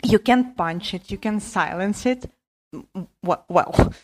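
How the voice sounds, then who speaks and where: A woman's voice: mid-range voice, unhurried. A woman recording a listener voice message with reflective commentary for a podcast. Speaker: listener sending in a voice submission.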